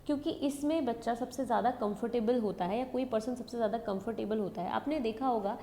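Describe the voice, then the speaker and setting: A woman's voice: a little high, fast talker, dark tone. North Indian woman lecturing in echoey room. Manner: repeats and rephrases key points. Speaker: education lecturer. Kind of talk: explanatory lecture mixing in English terms. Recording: echoey hall reverb, background noise.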